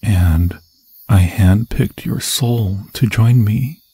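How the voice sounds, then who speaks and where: A man voicing an obsessed undead forest demon in an ASMR fantasy roleplay, seductive and menacing, with a husky, breathy voice that often drops to a whisper and slow, theatrical, intimate phrasing. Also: fairly low pitch, dark tone, no echo, full character voice. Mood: ominous, possessive. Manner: slow, theatrical, intimate, crooning endearments in archaic formal phrasing.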